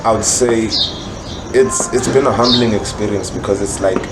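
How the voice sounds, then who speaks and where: A man's voice: on the low side, steady tone, normal speed. A South African man talking casually and explaining himself in slang as an interview guest, a rapper and songwriter. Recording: noisy ambience with birdsong.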